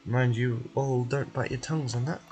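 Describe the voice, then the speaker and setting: A man voicing a polite, officious male research official in a fantasy game, measured and guarded in manner. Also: medium pitch, fairly even intonation, a little mellow, faint music behind, lightly acted. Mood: explanatory.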